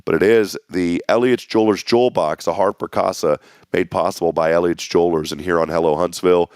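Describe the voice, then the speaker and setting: A man hosting a local morning show, upbeat and folksy, his voice going hoarse. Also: low voice, fairly even intonation, a little fast, a little mellow, dry recording, studio quality. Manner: folksy, upbeat community announcer. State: losing his voice. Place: small local TV studio.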